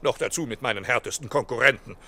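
A man's voice: mid-range voice, fast talker, bright, forceful tone. A man playing a fussy, indignant old Saint Nicholas in a children's audio play, blustering and muttering pompously. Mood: haughty, annoyed. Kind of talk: children's audio drama acting.

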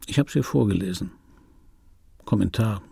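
A middle-aged man playing an aging, melancholic dubbing actor in a radio play monologue, gravelly and deadpan, with wry wounded pride. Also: fairly low pitch, moderate pace, no room sound, clean studio sound, exaggerated voice. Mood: laconic, bitter. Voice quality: gravelly, husky. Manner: laconic, deadpan.

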